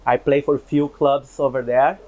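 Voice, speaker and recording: A man from Brazil, a football coach, answering interview questions in an upbeat way with many pauses and fillers. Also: medium pitch, flat delivery, darkish tone, slight background noise. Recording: slightly dull, lo-fi sound.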